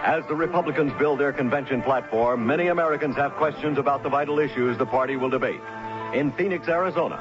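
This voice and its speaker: A man's voice: mid-range voice, fast talker. A man reading a scripted network promo as a radio announcer. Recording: dull old radio recording. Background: music.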